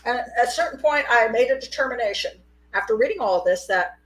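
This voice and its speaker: A middle-aged woman hosting a live call-in show, chatty and quick to laugh. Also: a little high, a little hiss or hum, low-quality recording. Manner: chatty, laughs often, animated. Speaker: host. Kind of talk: casual live call-in chat.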